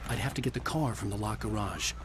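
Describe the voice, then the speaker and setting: An actor playing a tense, haunted American writer in a dramatic video game. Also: male voice, lowish voice, fast, close to an everyday voice. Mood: brooding. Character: tense, haunted male writer searching for his kidnapped wife. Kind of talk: dramatic game acting and brooding first-person narration. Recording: game audio with clear background noise.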